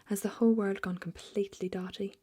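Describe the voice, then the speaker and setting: A woman voicing a hot-tempered, rich old male duke in a breathy whisper, shouting under her breath. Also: medium pitch, normal speed, pitched above the performer's own voice. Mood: astonished. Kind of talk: whispered storybook reading.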